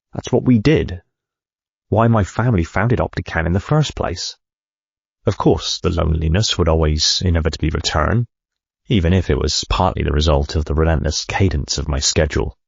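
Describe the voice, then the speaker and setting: An actor playing a driven company CEO narrating his memoir in a reflective, measured way, with a gravelly, husky voice. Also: very deep voice, no room sound, dull audio, exaggerated voice. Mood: wistful. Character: driven male medical company CEO recounting his life. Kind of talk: first-person memoir narration.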